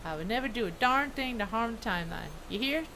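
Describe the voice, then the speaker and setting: A woman's voice: a little high, expressive, quick pace, noisy background. A woman voicing a folksy, straight-shooting cowboy gnome from a video game, theatrical and full of Western bravado. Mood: folksy, boastful.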